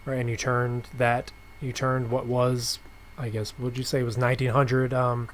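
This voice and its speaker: A man hosting a podcast, chatting casually and haltingly as he rephrases his way through questions and remarks. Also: mid-range voice, completely flat delivery, a little hiss or hum. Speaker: podcast host interviewing a writer. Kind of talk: casual conversational interview.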